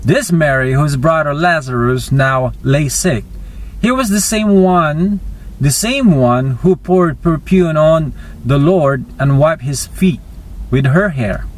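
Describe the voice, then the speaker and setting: A man narrating scripture in an expressive reading style. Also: fairly high pitch, takes it slowly, poor audio.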